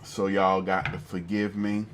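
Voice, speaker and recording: A man hosting a political talk show, working through a nagging cough as he delivers his commentary. His voice is low and bright, and it carries a forceful edge. He circles back over the same phrases and builds steadily toward heated indignation. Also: level tone, measured pace, a little hiss or hum. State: fighting a cough.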